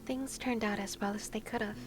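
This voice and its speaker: A young adult woman chatting casually as a game streamer, with an expressive, giggly, reactive way of talking. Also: a little high, a little fast. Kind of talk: casual game livestream chat. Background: faint game music.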